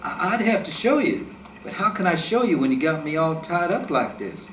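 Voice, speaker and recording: A man's voice: a little high, big pitch swings, moderate pace, a bit bright, slight background noise, voice pushed up. A man voicing a small, clever male rabbit trickster who talks in a sly, cheeky way, recorded in an echoey room from a distance.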